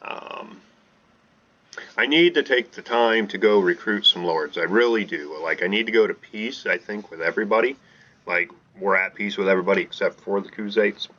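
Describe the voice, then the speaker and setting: A man giving excited live commentary over his gameplay, talking to his viewers and shouting during fights. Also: fairly low pitch, normal speed, highs cut off. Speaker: gaming channel host. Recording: game music behind voice.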